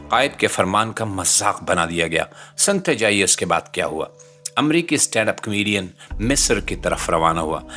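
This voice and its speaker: A Pakistani man with a very low, penetrating voice reads scripted political commentary over soft background music. His delivery is indignant and dramatic, built on formal, rhetorical phrasing in a Pakistani accent. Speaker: commentator, channel presenter.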